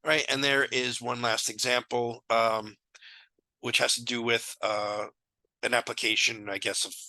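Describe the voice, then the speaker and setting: A man in his thirties talks through math proofs in a relaxed, thinking-aloud way, his voice hoarse and gravelly from illness. His tone is sharp and bright. The sound is dry and close, from a headset mic in a small room. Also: medium pitch, a little slow, no room sound, rough recording. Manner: casual, thinking aloud with fillers. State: sick, losing his voice. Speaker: math tutor. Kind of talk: casual lecture walkthrough.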